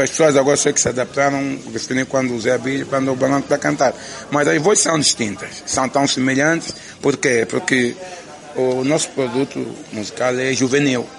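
A man's voice: medium pitch, fairly even intonation, fairly quick, vocal fry. A man with an Angolan Portuguese accent, a musician answering interview questions in an earnest, reflective way, restarting phrases often. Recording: crowd noise behind.

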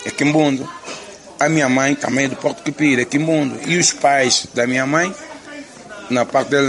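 A man's voice: a little high, fairly bright tone. A man with an Angolan Portuguese accent, a musician answering interview questions in an earnest, reflective way, restarting phrases often. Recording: crowd noise behind.

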